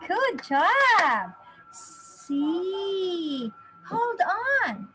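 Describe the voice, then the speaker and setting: A woman in her thirties teaching a children's phonics lesson, encouraging, with exaggerated, deliberate enunciation for young learners. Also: very high voice, very animated, sharp tone, faint music behind, rough recording. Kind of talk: children's phonics lesson, sounding out letters and words.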